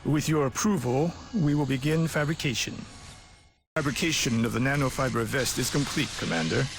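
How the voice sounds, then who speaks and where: A man playing a courteous, thoughtful older chief engineer, speaking formally and deliberately in a video game. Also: medium pitch, creaky, slight background noise, natural voice. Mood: welcoming. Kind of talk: scripted video game dialogue.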